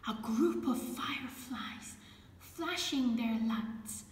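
Casting: female storyteller, unhurried and gentle, slightly high voice, reading for small children in a reverberant room. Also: expressive. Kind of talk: reading a children's picture book aloud. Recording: echoey room with background noise.

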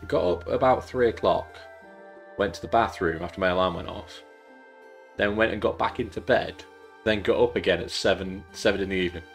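Brief: stuffy-nosed guy in his thirties, lowish voice, casual rambling streamer talk, faint music. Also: a little fast. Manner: rambling, chatty, jokey asides. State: congested, losing his voice. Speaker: game streamer host. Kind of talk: casual live-stream chat while playing.

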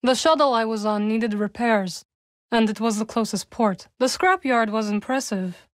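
A solemn young witch warrior from a video game, played by a woman. Her voice sits a little high and she chooses her words formally, with measured care and a guarded, wry edge. The sound is dry, with no room around it. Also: no room sound, natural voice. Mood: dry, composed. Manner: measured, formal phrasing with few contractions. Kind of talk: video game character dialogue.

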